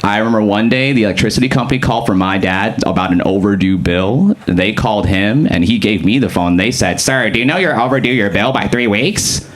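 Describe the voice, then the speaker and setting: A young man from Boston with a deep voice, doing a casual stand-up comedy set with lots of fillers. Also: a little fast, hardly any pauses. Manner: casual, conversational delivery with frequent fillers. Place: comedy club stage. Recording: comedy club with clear audience noise.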